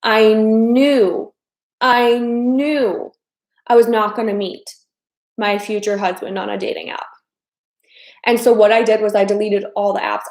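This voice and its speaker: A woman's voice: medium pitch, a little mellow, roomy sound. A chatty woman in her thirties from the American Midwest, hosting a casual live stream as a dating coach and often repeating her phrases. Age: 30s.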